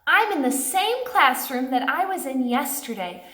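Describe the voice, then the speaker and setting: A woman's voice: sits high, expressive, unhurried, sharp tone. A woman doing a read-aloud for young children, speaking in simple phrases aimed straight at little listeners. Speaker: church pastor reading a children's Bible story aloud. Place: indoors in a classroom. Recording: echoey classroom.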